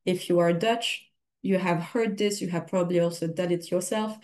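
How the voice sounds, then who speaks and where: A woman's voice: fairly low pitch, steady tone, moderate pace, a little mellow. A young woman with a slight Belgian French accent, giving a casual exam-prep lecture full of personal examples and self-corrections. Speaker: exam tutor. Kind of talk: recorded study lecture.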